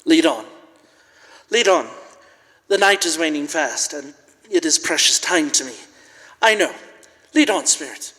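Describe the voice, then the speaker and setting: An old miser played by a man in a radio play, frightened and repentant. The voice is rather high and theatrical, earnestly pleading. A long church reverb surrounds it. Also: animated, unhurried, long pauses, bright, forceful tone. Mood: urgent. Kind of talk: live radio play acting.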